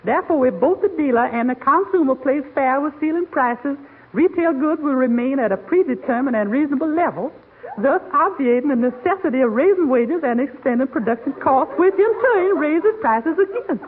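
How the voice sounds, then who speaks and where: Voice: high-pitched, animated, normal speed, phone-quality sound, a little hiss or hum. An actor playing a cheerful household maid in 1940s radio comedy, mixing folksy grammar with pompously learned words, on an old radio recording. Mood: earnest, self-important.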